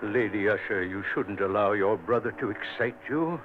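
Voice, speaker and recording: An actor playing a gentle family doctor who soothes a dying patient, in vintage radio drama style. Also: male voice, lowish voice, normal speed, close to an everyday voice. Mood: soothing. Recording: scratchy, tinny old radio recording with hiss.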